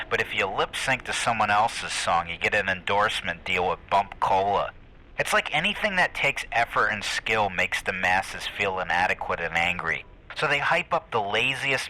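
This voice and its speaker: A man's voice: on the low side, sharp tone, slight background noise, full character voice, low-quality recording. A man playing a cynical social commentator, delivering a sardonic, scornful rant. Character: cynical ranting male social commentator on a broadcast. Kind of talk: opinionated rant monologue.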